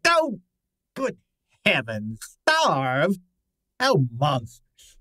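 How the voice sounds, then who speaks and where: Male actor as imperious, exasperated detective genius; unhurried, widely swooping delivery, radio drama, close dry sound. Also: a little high, huge pitch swings, bright, forceful tone, dry recording, full character voice. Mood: appalled. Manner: pompous, formal, exasperated. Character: large, pompous, irritable male genius detective. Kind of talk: old time radio style acting.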